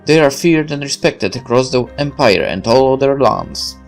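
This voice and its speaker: A woman's voice: very deep voice, expressive, moderate pace, soft background music. A woman reading a visual novel game aloud as narrator and player, adding casual commentary.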